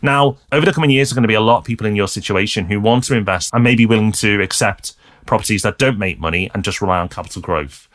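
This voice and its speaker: A British man co-hosting a property podcast, giving emphatic, lively advice to a listener. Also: lowish voice, fast. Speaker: podcast co-host.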